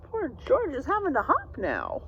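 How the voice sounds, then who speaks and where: A woman reading a picture book aloud to young children in an expressive, playful manner, with drawn-out emphasis and chatty asides. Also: extremely high pitch, highs cut off, a little hiss or hum. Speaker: read-aloud storyteller.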